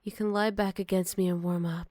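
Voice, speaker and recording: A woman voicing a gentle, motherly older werewolf, wistful and protective, in a soft and caring ASMR roleplay. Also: lowish voice, level tone, no echo, studio quality, lightly acted. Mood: tender, nurturing. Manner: soft, caring, unhurried, slipping into whispers. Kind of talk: ASMR roleplay, intimate one-sided dialogue to listener.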